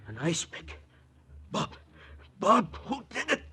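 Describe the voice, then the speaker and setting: Shocked, husky nightclub hand, high forceful voice, swooping intonation, 1940s radio drama. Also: male voice, wildly swooping pitch, slow pace, full character voice.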